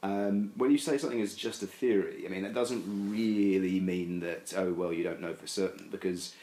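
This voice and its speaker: Man, very deep voice, rambling, hedging, lots of fillers, echoey room. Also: steady tone, moderate pace, mellow. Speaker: podcast guest. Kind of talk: casual discussion.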